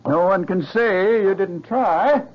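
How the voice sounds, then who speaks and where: High-voiced, wry, unimpressed house buyer. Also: male voice, slow pace. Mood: dryly dismissive. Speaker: radio drama actor. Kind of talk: 1970s radio drama acting. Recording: dull, slightly noisy old radio recording.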